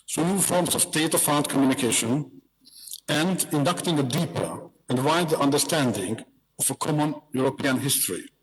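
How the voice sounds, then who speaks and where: A man with a Hungarian accent delivers a prepared address in a measured, rhetorical manner, now and then repeating a word. His voice sits somewhat high for a man. The sound carries a long reverb, as in a large hall. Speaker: museum representative giving a speech. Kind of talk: formal prepared speech.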